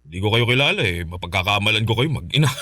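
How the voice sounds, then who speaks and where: A man's voice: lowish voice, rapid-fire, background noise, natural voice. A man voicing an easygoing, good-natured husband in a radio drama. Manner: relaxed, joking.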